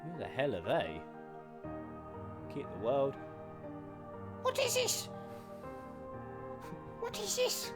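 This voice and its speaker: Gamer guy chatting casually over game music, slow paced but really lively swings in his voice. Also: mid-range voice. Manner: playful, animated, with crude humour. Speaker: gaming YouTuber. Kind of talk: casual reaction commentary on a video game.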